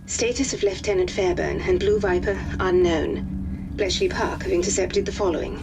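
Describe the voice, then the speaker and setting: An actress playing a formal, clipped wartime intelligence officer reading a mission report in a video game. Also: female voice, fairly low pitch, steady tone, moderate pace, lightly acted. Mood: formal, businesslike. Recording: clear background noise.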